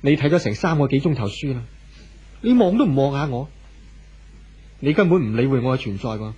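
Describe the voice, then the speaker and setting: An actor playing an anxious, apologetic husband in a 1970s radio drama, with a husky voice. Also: male voice, medium pitch, animated, brisk, warm tone. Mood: pleading. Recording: dull old radio recording.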